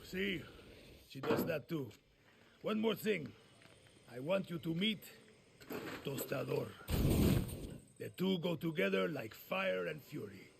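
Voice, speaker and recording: An actor playing a crude, boastful older guerrilla veteran in a video game cutscene, cursing and joking about danger. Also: male voice, a little high, lively intonation, slow, pauses often, heavy background noise, full character voice. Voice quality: gravelly. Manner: profane, swaggering, laughs at danger.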